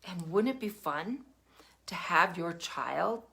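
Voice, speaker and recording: An older woman hosting a casual livestream, chatty and meandering, her throat still rough from a lingering cough. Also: on the low side, animated, slow, heavy background noise. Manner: chatty, warm-hearted, meandering with fillers. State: recovering cough, cough drop in mouth. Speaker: podcast host. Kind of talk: casual livestream talk to viewers.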